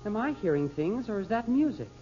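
A woman's voice: mid-range voice, very animated, a little fast, mellow, natural voice. A woman playing a brash, eager young boy on a desert adventure in an old radio drama. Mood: eager, cheeky. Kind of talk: 1940s radio drama acting. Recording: dull old radio recording.

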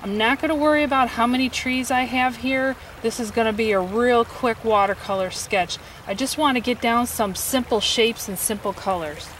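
A woman in her forties teaching a quick watercolor sketch outdoors, explaining each step in a relaxed, encouraging way. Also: on the high side. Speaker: watercolor instructor. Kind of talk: outdoor painting demonstration. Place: outdoors by a stream. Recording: faint stream noise behind.

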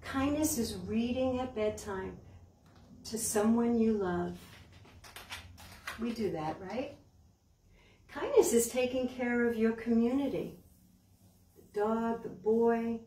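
Casting: older American woman, children's storytime host, fairly high voice, unhurried, recorded roomy and a little distant. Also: animated, warm tone. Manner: simple, encouraging questions addressed to young children. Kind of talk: children's storytime with puppets, songs and read-aloud. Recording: roomy, distant mic, background hiss.

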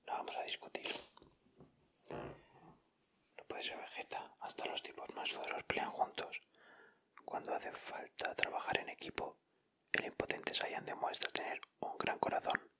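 Male ASMR host, Spain accent, slow and breathy whisper with crisp, bright edges. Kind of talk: whispered ASMR, casual commentary. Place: indoors at a table.

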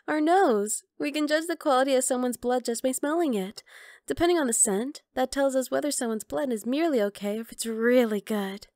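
Woman acting a high-voiced, teasing vampire girl. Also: moderate pace, darkish tone, no room sound, clean studio sound. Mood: satisfied, chatty. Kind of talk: ASMR roleplay.

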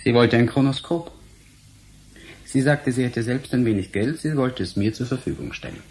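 An actor playing a defiant, principled scientist and lecturer in a German radio play, speaking formally, firmly and in a measured way. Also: male voice, medium pitch, a little mellow, slight background noise, lightly acted. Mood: cool, firm.

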